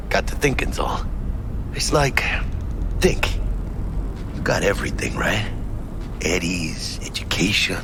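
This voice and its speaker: A man's voice: lowish voice, background noise. An actor playing a streetwise, upbeat, chatty male mercenary in a video game, casual and profane. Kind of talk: naturalistic video game voice acting. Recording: music behind the voice.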